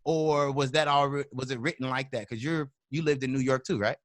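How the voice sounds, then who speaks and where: A man's voice: medium pitch, fairly even intonation, a little fast, a bit bright. A man co-hosting a podcast, talking loosely with stammering restarts and slang. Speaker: podcast co-host. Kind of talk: casual chat.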